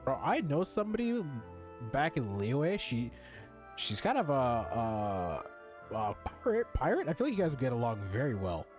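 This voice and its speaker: A young man streaming a game with a mid-pitched voice. His commentary is casual and slangy, rambling along and circling back on phrases, while game music plays clearly behind him. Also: huge pitch swings, moderate pace. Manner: slangy, rambling, repeats himself.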